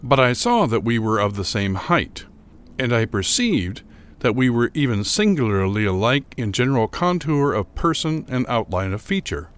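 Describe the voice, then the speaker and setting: A man playing a troubled, bitter gentleman who narrates a gothic horror tale in a formal, deliberate, theatrical manner. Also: mid-range voice, sing-song. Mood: brooding, aggrieved. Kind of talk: dramatic first-person reading of gothic horror fiction.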